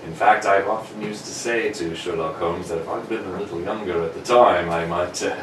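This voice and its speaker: A man playing a genial, slightly flustered older gentleman doctor telling stories in a radio drama read-through. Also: low-pitched, steady tone, fairly quick, lightly acted. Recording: roomy, distant mic, noisy home recording.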